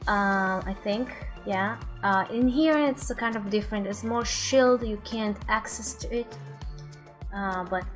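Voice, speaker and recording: Slow, halting young woman reacting casually. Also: medium pitch, faint music behind. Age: 20s. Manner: hesitant, halting phrasing. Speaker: streamer reacting to game videos. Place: small room at home.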